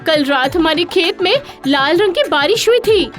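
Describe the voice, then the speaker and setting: A woman's voice: extremely high pitch, expressive, moderate pace, faint music behind. A woman playing a naive, complaining, insistent village wife in a cartoon story. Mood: insistent, upset. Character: gullible, chatty, sleepy village wife, insistent.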